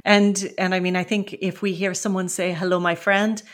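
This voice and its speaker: A woman's voice: on the low side, flat delivery, moderate pace. A woman from western Canada with a West Coast Canadian accent, hosting a language-learning podcast and explaining things in a friendly, conversational way. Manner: friendly, explanatory, acts out example phrases. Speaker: podcast host and ESL teacher.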